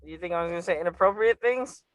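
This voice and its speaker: A man from California hosts a loose video-call chat, rambling through heavy filler words and crude swearing as he teases his guest. His voice sits a little high for a man. The sound is dry and close, with no room around it. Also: fast, fairly bright tone, no room sound. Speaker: channel host.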